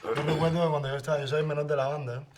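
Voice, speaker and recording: A man in his thirties with a Cuban accent speaks as a podcast guest. His voice sits a little high and he talks bluntly and with energy. A faint noise runs behind him. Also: racing. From Havana, Cuba. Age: 30s. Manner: animated, blunt.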